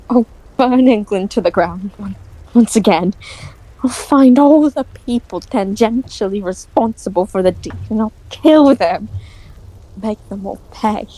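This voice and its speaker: A woman playing a bitter, grief-stricken young nobleman with a halting, intense delivery in an audio drama. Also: fairly high pitch, warm tone, poor audio. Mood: lost, vengeful.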